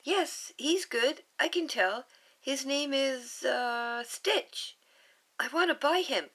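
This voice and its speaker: A woman voicing an eager, stubborn little girl in a storybook reading, with a high childlike voice. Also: slow pace, a bit bright. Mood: eager pleading.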